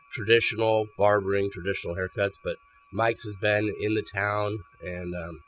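A man's voice: on the low side, slight background noise, rough recording. A man, a barbershop owner chatting casually in an interview inside a small shop, often repeating words as he thinks. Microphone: handheld mic held by interviewer.

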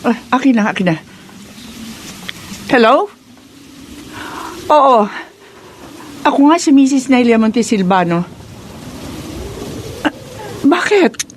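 An emotional, fretful mother with a high voice that swings dramatically. It is a melodramatic Filipino radio drama performance over clearly noisy ambience. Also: big pitch swings, takes it slowly, pauses often. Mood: worried. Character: anxious, emotional wife and mother.

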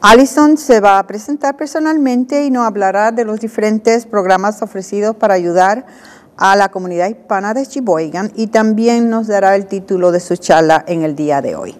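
A woman's voice: medium pitch, moderate pace. A woman in her sixties hosting a community TV interview in a small studio, who often repeats her guest's details back. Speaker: host. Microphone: lapel.

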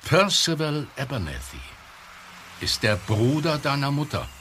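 Gruff, bright-voiced weary uncle. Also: male voice, medium pitch, very animated, measured pace, slight background noise. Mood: reluctant, weary. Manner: curt, hesitant when pressed. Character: gruff, evasive middle-aged uncle, weary and reluctant. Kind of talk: German audio drama acting.

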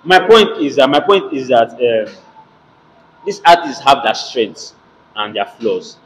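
A man's voice: mid-range voice, big pitch swings, a little slow. A young man with a Nigerian accent chatting and debating casually, emphatic and repeating himself to get his point in. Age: young adult. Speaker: participant in a music debate. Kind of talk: casual debate chat, English mixed with Pidgin. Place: indoor gym, medium-sized room. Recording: noisy gym room pickup.

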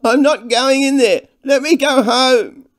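A storybook boy in fear. The voice is pushed very high and loud, with drawn-out, anguished cries. He pleads desperately as he struggles. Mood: desperate pleading. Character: frightened boy struggling and pleading to get away.

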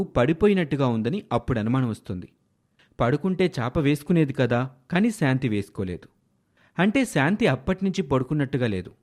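Expressive male storyteller, lively intonation. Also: medium pitch. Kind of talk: scripted moral story narration.

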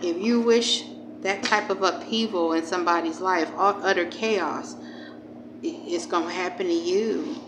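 A woman with a low voice and a gravelly fry at the edges talks through a tarot reading. She is informal and emphatic, circling back over phrases as she addresses the viewer directly. Clear noise sits in the recording. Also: measured pace. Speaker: tarot reader, channel host. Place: indoors at a table. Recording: clearly noisy home recording.

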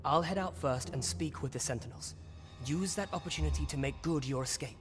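An actor playing an earnest, secretive young prince in a fantasy game, speaking with polite, careful formality in archaic English. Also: male voice, on the high side, a little fast, lightly acted. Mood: resolved. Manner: hushed, formal, courteous. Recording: long hall reverb, music underneath.

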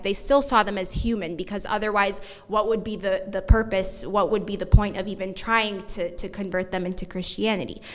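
A young woman giving an academic conference talk at a podium microphone, speaking in a measured way from notes with small restarts. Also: mid-range voice. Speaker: conference presenter. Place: large conference hall at a podium. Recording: long hall reverb.

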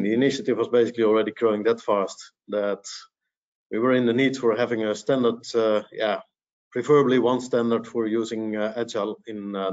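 A man presenting in an online webinar, speaking in a measured way with fillers, over a headset on a video call. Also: lowish voice, a little mellow. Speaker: presenter, bank employee. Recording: dull, lo-fi video call audio.

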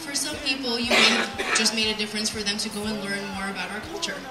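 A young adult woman giving an earnest, measured prepared speech at a podium in an event hall, reading from notes. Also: medium pitch. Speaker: speaker at a community event. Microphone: handheld mic on PA, picked up by distant camera. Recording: roomy, distant sound with music.

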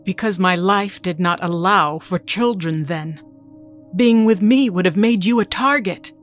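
A woman's voice: on the low side, measured pace, natural voice. An actress playing an ageless mutant mother in an audio drama, cold, guarded and authoritative in clipped phrases. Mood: guarded, regretful. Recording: music under the dialogue.

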